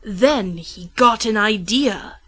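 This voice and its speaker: A woman's voice: medium pitch, lively intonation, takes it slowly, fairly bright tone, husky. A woman narrating a rhymed storybook parody in a theatrical, playful way, drawing out words for dramatic emphasis.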